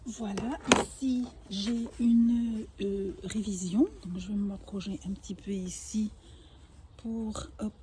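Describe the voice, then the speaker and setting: A woman's voice: medium pitch, dark tone, slight background noise. An older woman whose first language is Arabic, teaching a patient language lesson and explaining each word with small restarts. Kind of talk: home-made language lesson.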